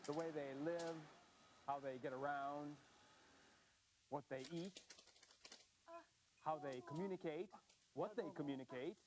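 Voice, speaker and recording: An American man hosting a language course, presenting in an upbeat scripted way with slow, careful enunciation. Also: a little high, a little mellow. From United States.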